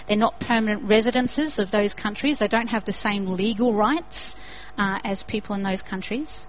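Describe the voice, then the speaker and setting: A woman's voice: medium pitch, normal speed, slight background noise, poor audio. A young woman with an Australian accent preaching a sermon in an earnest way, building to emphatic repetition.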